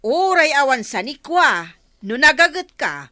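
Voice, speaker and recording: A woman's voice: sits high, very animated, a little slow, bright, forceful tone, no room sound, clean studio sound, exaggerated voice. A woman with an Ilocano accent playing a preachy, scolding village mother in a radio comedy drama. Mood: admonishing. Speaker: voice actor.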